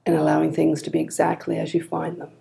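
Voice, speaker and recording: A woman leading a guided body scan meditation in a calm, gentle, unhurried manner. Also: sits low, vocal fry.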